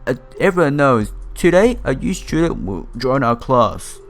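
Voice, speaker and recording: A man's voice: medium pitch, sing-song, moderate pace, natural voice. A cordial schoolteacher of about forty in a visual novel, read by a male player. He addresses his class in a plain, polite way, with music playing behind him.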